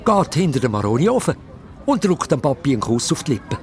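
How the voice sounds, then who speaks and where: Male narrator, Swiss German, kids' audio drama. Mid pitch, very lively delivery, music underneath. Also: fairly quick. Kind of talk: animated scripted story narration.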